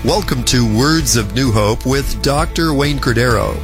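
Male announcer, middle pitch, reading a show intro with loud guitar music behind. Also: measured pace. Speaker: program announcer. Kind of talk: scripted radio introduction.

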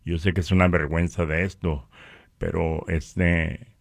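A man from northern Mexico with a Mexican accent, giving a conversational religious testimony in a halting way, repeating words. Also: low-pitched, little pitch movement, gravelly, dry recording, studio quality.

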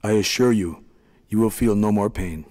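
Storybook: gentle tiny chief of the little people, read by a man, calm, low, roomy reverb. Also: moderate pace, close to an everyday voice. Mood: soothing. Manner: gentle, soothing. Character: kind tiny middle-aged leader of little people.

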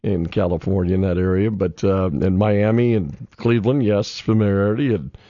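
A man's voice: deep voice, fairly even intonation, normal speed, dark tone, dry recording, highs cut off. A man co-hosting a casual morning radio chat, rambling and restarting his sentences, often laughing. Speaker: radio show co-host.

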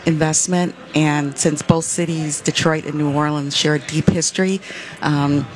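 Someone from west michigan answering interview questions, steady mid voice, lots of uh while thinking. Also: medium pitch, slight background noise. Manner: measured, many "uh" fillers while explaining. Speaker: foundation representative, guest.

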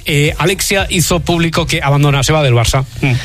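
A man's voice: fairly high pitch, brisk, fairly bright tone, soft background music. A man with a Spain Spanish accent hosting a radio show with casual banter.

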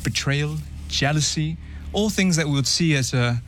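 Tongan-speaking tenor, relaxed talk. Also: male voice, fairly high pitch, moderate pace, a little hiss or hum. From New Zealand, Tongan family. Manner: relaxed, chatty, laughs easily, restarts. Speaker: opera tenor guest. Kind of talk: casual interview chat.

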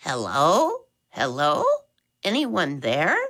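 A woman voicing an elderly, hard-of-hearing woman who talks loudly in a squeaky, fussy, doting way. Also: wildly swooping pitch, takes it slowly, full character voice.

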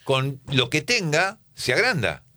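A man's voice: fairly high pitch, very animated, measured pace, pushed and bright. A man hosting a casual football talk panel, asking his guests questions and thinking aloud. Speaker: host.

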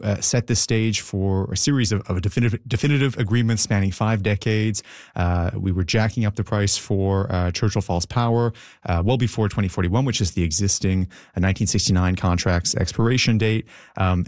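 A male journalist giving unscripted analysis in a radio interview, halting and repeating words as he explains. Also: deep voice, a little mellow, no room sound, clean studio sound.

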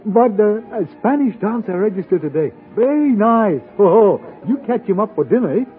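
Excitable high-pitched hotel porter, old radio. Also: male voice, moderate pace, soft background music. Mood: excited, sly. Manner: excitable, clipped broken English. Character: eager, obliging Chinese male hotel porter, broken English. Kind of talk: 1950s radio drama acting. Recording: tinny band-limited old radio recording.

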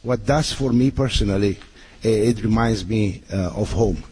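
A man speaks as a guest on a radio interview. His voice is somewhat husky, and he pauses often with fillers and restarts. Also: on the low side, dark tone. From Romania. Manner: hesitant, many fillers and restarts. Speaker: hotel manager guest.

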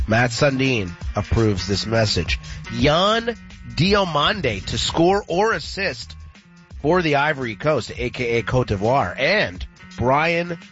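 Congested, playful sports radio host. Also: male voice, mid-range voice, a bit bright, dull audio. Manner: playful, jokey. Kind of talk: casual radio chat with sponsor reads.

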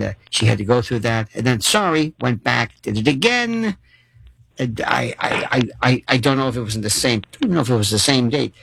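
Gravelly theatrical radio talk host, a man. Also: medium pitch, a bit bright, no room sound, low-quality recording. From Florida. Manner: theatrical, wordy, mock-formal.

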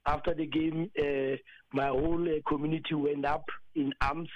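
A man who is a football coach, with a South African accent and a husky voice hoarse from celebrating, speaking emphatically with many fillers in a radio interview. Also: a little high, flat delivery, normal speed, muffled recording, a little hiss or hum.

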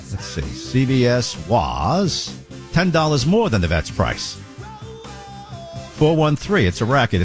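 A man hosting a talk radio show, chatting loosely and often stumbling over repeated words as he starts a sentence. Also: lowish voice. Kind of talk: casual talk radio banter.

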